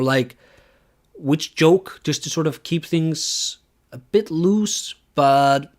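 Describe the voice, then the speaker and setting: A man with an Icelandic accent giving wry, musing asides while he streams a conference talk. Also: fairly high pitch. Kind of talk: casual commentary.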